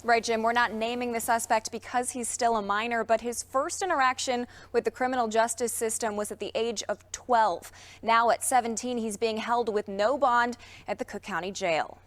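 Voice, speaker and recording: A woman reports live for a television newscast. Her voice is fairly high. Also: fairly even intonation, normal speed. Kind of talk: live broadcast news reporting.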